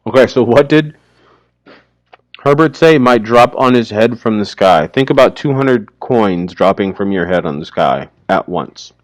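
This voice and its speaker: A man's voice: lowish voice, animated, a little slow, no room sound. A man giving casual, explanatory commentary on a story, like a teacher.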